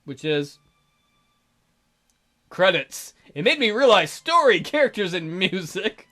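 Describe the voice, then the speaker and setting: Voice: mid-range voice, sing-song, takes it slowly, bright, forceful tone. A gamer giving casual let's play commentary and reading game text aloud. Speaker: gaming video host. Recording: music behind.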